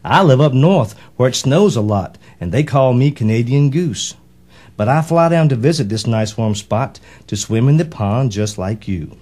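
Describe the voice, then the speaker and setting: A man's voice: medium pitch, animated, moderate pace, a little mellow, close to an everyday voice. A man voicing a friendly, plain-spoken male orphan goose in a rhyming children's storybook, with folksy phrasing.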